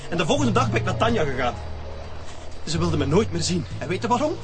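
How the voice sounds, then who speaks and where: A man's voice: high-pitched, sing-song, fast. A Flemish man playing a bitter, desperate, cornered fugitive in an emotional radio drama. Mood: bitter, agitated. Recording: background music under radio drama dialogue.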